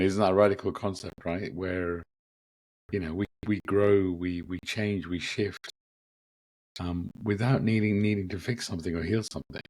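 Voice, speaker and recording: A man hosting a spiritual podcast, gently reflective, his voice a bit croaky from a lingering cough. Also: low voice, no echo, poor audio. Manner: gentle, reflective, with frequent ums and repeats. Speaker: podcast host. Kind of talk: casual spiritual podcast talk.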